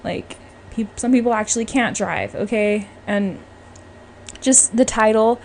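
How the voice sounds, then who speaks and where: Chatty woman podcaster, clear background noise. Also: fairly high pitch, a little slow, darkish tone. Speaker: podcast host. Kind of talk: casual opinionated podcast talk.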